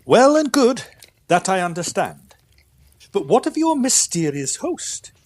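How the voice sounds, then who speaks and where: A male actor plays an incredulous, inquisitive doctor in a gothic audio drama. His high voice leaps dramatically up and down as he probes in formal, measured words. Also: big pitch swings, heavily acted. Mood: intrigued. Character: sceptical, inquisitive male doctor questioning a patient. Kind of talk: period audio drama acting.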